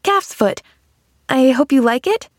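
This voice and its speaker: A woman's voice: high-pitched, lively intonation, moderate pace, no room sound, studio quality, voice pushed up. A woman voicing a cheerful, naive little girl in an audiobook, eager and earnest, rambling on chattily. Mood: hopeful, coaxing. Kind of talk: audiobook character dialogue.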